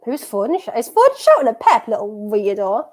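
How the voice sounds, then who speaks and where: Woman streamer, softened Durham accent, higher voice, nervy and rambling, live match reaction. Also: brisk. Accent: County Durham, softened. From North East England. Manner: anxious, excitable, rambling and self-repeating. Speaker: football watchalong streamer.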